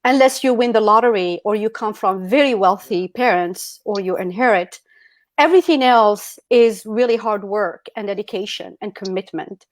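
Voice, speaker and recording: A woman's voice: mid-range voice, moderate pace, no room sound. A middle-aged woman from Algeria speaking as a guest presenter in a motivational presentation. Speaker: guest speaker at an online e-commerce summit. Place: indoors on an online video call.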